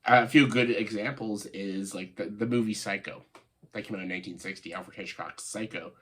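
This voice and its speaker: A man with a stuffed-up, nasal voice from a cold, giving casual, opinionated video commentary in a halting way, stressing key words and often saying "like". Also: lowish voice, a bit bright, a little hiss or hum. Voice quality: congested, nasal voice. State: congested, stuffed-up nose after a cold. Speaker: video host, film commentator.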